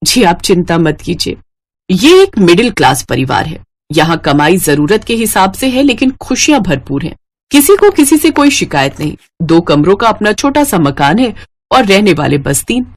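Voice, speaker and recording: A woman's voice: medium pitch, sing-song, normal speed. A woman reading scripted narration for a moral family story.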